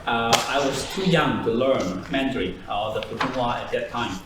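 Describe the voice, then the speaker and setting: A man's voice: medium pitch, normal speed. A man, a Cantonese speaker, talking on a seminar panel in a hesitant, chatty way with many ums and easy laughs. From southern China and Hong Kong. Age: 50s. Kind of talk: conversational panel talk. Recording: echoey hall, distant mic, faint noise.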